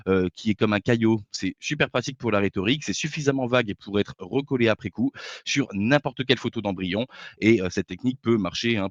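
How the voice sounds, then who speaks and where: A man's voice: lowish voice, no room sound. A man giving a formal debate opening statement, laying out his points methodically but hesitating often with fillers. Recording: dull dry lo-fi voice chat mic.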